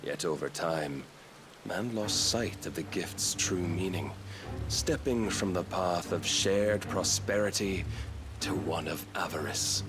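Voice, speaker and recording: An actor playing a sinister, contemptuous zealot villain in a fantasy video game, speaking in a grandiose, measured, sermonizing way. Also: male voice, deep voice, over music. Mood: solemn, grandiose. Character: sinister zealot male villain, contemptuous of mankind, preaching salvation.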